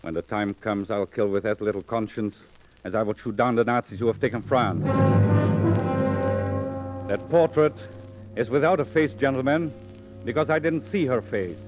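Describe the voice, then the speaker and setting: A grim, vengeful painter, acted by a man in a 1940s radio play. His mid-pitched voice is intense and declamatory, heard on a muffled old recording with music behind. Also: normal speed, long pauses, lightly acted. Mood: grim resolve. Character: grim, vengeful male painter hunting an unseen woman. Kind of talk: 1940s radio drama acting.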